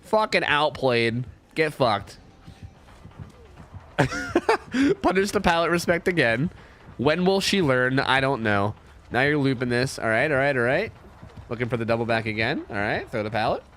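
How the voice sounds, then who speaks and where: A man's voice: mid-range voice, sing-song, a little slow, long pauses, fairly bright tone, a little hiss or hum. A young man with a cold, commentating gameplay casually, sniffly, profane and rambling with lots of restarts. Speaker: gameplay reviewer.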